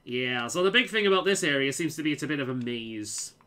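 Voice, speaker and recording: Bright-voiced man with a light Liverpool accent. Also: mid-range voice, animated, a little fast, clean tone. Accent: mild Liverpool. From England. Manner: repeats words, stammers, restarts sentences. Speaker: game streamer. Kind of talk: casual chat while playing.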